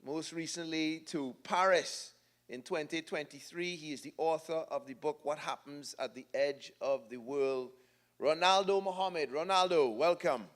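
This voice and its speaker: A man hosting a public lecture, introducing guests in a relaxed, chatty way with fillers. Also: fairly high pitch, normal speed. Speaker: event host.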